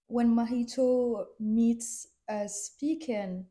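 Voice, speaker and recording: A woman's voice: a little high, fairly even intonation, very slow, dark tone. Young woman with a sick voice. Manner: thoughtful, hesitant, trailing off. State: sick, voice affected by illness. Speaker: co-host film reviewer. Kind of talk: casual review chat.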